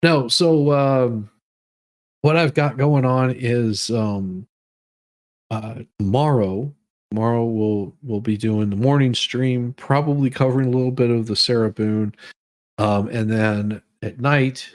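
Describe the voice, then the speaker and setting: A man is hosting a casual livestream from a room at home. His voice sits at an average male pitch, with very little room sound. He rambles along haltingly, often repeating words as he chats to his viewers. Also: fairly even intonation, no room sound. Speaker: livestream host.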